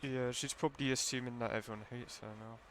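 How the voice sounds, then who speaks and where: A man's voice: medium pitch, steady tone, fast talker, a little hiss or hum. A man giving casual live commentary while he plays a video game. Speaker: game streamer. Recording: loud game music behind voice.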